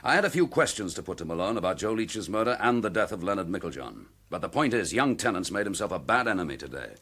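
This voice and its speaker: A British detective inspector in a radio drama, low-voiced and slightly husky, with lively swings of pitch. He takes charge briskly, sounding determined and protective as he lays out matters. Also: exaggerated voice. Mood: measured, resolute. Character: decisive suspended police detective inspector, protective and urgent.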